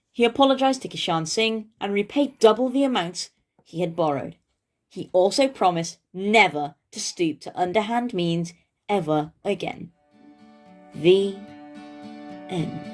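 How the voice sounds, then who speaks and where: A woman narrating a story for children as the host of a read-aloud show. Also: mid-range voice.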